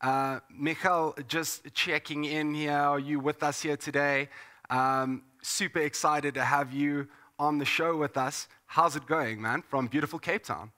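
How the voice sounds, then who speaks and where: Upbeat host with a bright voice. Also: male voice, fairly high pitch, fairly even intonation, unhurried. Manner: upbeat, filler-heavy, restarts. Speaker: show host. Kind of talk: hosted panel chat.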